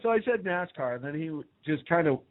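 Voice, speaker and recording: A man's voice: a little high, lively intonation, fairly quick. Sarcastic man on a tinny line. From United States. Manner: sarcastic, joking, laughs often. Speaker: co-host. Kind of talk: casual political talk banter. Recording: phone-like narrow sound.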